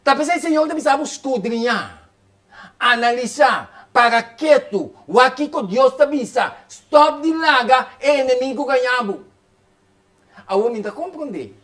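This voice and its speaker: A man with a notably high voice preaching with fervor. His sermon runs quick and insistent, circling back to the same phrases over and over. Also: expressive, a bit bright. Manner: fervent, rapid preaching with repeated phrases.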